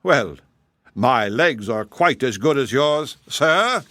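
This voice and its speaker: A man voicing a shrewd, proud little male hedgehog who speaks calmly and slyly. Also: medium pitch, slow pace, no room sound, clean studio sound, pitched above the performer's own voice. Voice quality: calm, sly, plain-spoken.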